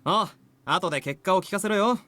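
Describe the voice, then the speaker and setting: A man's voice: sits high, pushed and bright, no room sound. An actor voicing a sulky, petulant but good-natured young male student in a Japanese visual novel. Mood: brash, casual. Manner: petulant, brash, fast-talking.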